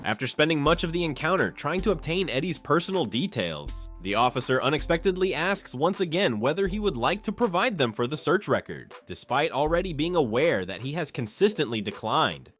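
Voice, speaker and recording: A man narrating a scripted breakdown in a measured, explanatory way. His voice is fairly high and moves a lot in pitch. Soft music plays underneath him.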